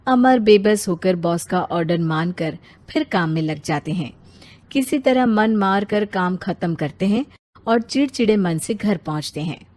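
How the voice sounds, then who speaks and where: A woman narrator tells a scripted moral story. Her pitch is medium and the recording is close and free of room echo. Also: expressive, measured pace, darkish tone.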